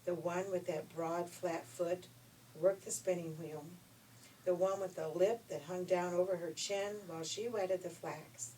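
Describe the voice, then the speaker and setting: A woman reading a children's story in an expressive, folksy way. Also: fairly low pitch, a little slow. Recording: noisy, distant room recording.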